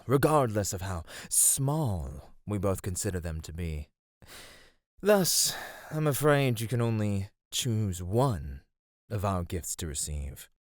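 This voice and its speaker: Guy doing a flirty vain god of radiance, low lively voice, slow and teasing, dry sound. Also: no room sound, full character voice. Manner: teasing, affectionate, unhurried. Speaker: voice actor. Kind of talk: ASMR roleplay.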